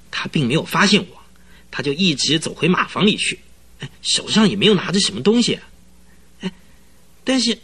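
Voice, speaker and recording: Radio drama casting: easily spooked, chattering horse trainer, bright husky voice, dramatic storyteller. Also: male voice, high-pitched, heavily acted. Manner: rambling, dramatic storytelling full of interjections. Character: excitable, rambling, easily frightened male horse trainer witness.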